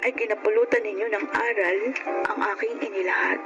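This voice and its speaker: A woman's voice: mid-range voice, steady tone, brisk, highs cut off, loud music behind the voice, natural voice. A woman playing an earnest, grieving young niece in a Filipino radio drama, speaking emotionally with a Filipino accent. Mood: sad, reflective. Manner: emotional, pleading delivery.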